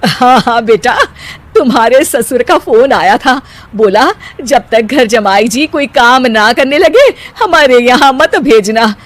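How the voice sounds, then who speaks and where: A voice actor playing a fond middle-aged mother in a cartoon, doting on her son while gently scolding him. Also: medium pitch, a little slow, close to an everyday voice. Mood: wry. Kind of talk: cartoon voice acting.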